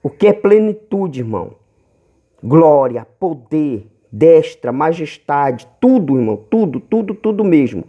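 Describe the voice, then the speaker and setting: A man with a Brazilian accent preaching in a home Bible-teaching video, reading verses slowly and stretching key words, calling listeners brothers. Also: on the high side, sing-song, highs cut off. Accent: Brazilian Portuguese. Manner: fervent, calls listeners brothers often.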